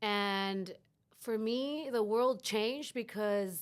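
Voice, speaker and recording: A woman's voice: medium pitch, extremely slow, smooth. A middle-aged woman from Chile, a podcast guest talking openly and reflectively in a casual interview, often restarting her sentences. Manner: reflective, open, with frequent restarts.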